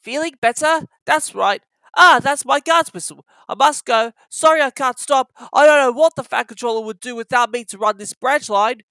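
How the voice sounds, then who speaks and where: Storyteller playing a cheeky little male tank engine, raised pitch, bright, chirpy, self-important, children's storybook. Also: normal speed, clean tone, no echo. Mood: cheerful.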